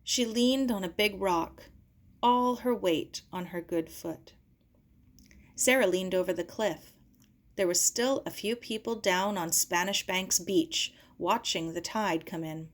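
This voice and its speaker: A woman's voice: medium pitch, slow. A woman reading a children's novel aloud as scripted narration. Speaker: audiobook reader.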